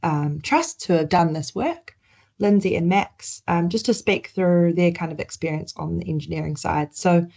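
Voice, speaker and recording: Low-voiced Kiwi woman presenting. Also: normal speed, gravelly, a little mellow. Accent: New Zealand. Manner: conversational, with frequent ums and restarts. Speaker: webinar presenter. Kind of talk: presenting slides in a webinar.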